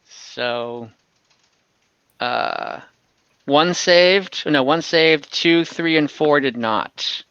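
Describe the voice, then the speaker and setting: A man's voice: a little high, extremely slow, fairly bright tone, smooth, no echo, dull audio. A man talking casually as dungeon master in an online tabletop game. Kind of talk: casual game-running talk. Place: indoors, online voice call.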